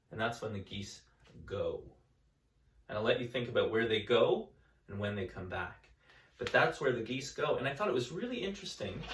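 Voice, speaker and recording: Male schoolteacher, mid-pitched voice, calm and gentle read-aloud with friendly asides to the class. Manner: gentle, calm storytelling with chatty asides to kids. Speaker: elementary school teacher. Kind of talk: reading a picture book aloud to students.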